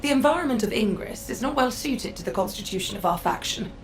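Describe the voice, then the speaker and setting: A woman's voice: a little high, expressive, quick pace, slight background noise, lightly acted. A woman playing a condescending council Magister in a video game, with a haughty, formal, clipped delivery. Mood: haughty, composed.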